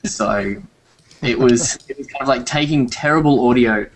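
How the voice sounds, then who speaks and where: A young man on a video call from a room at home, explaining at relaxed length with fillers. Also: medium pitch, normal speed, slight background noise, rough recording. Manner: relaxed, rambling with fillers and laughs. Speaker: guest, audio editor. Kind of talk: casual video-call chat.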